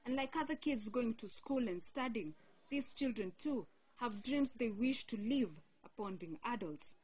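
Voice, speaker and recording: A young woman reporter reading a scripted news feature in a measured, solemn way. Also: a little high, expressive, darkish tone, husky, poor audio.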